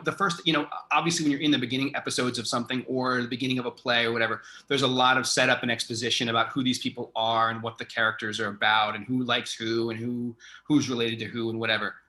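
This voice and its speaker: A man's voice: medium pitch, fairly even intonation, fast, hardly any pauses, pushed and bright. A man interviewing a guest in a casual chat, effusive and rambling as he thinks aloud. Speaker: interviewer, theatre artistic director.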